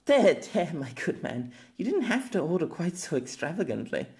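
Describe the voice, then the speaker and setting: A man voicing a playful, kind young woman in an audiobook, with a gentle, teasing manner. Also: a little high, sing-song, moderate pace, voice pushed up.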